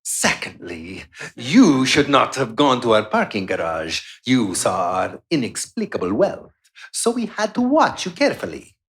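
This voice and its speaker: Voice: mid-range voice, wildly swooping pitch, measured pace, fairly bright tone, full character voice. A centuries-old vampire doctor in a radio play. The voice sits in a middle register and swoops wildly, savoring greed with theatrical, menacing glee. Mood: gloating, menacing. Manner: theatrical, gloating, menacing, cackling delivery. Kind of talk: live radio play acting.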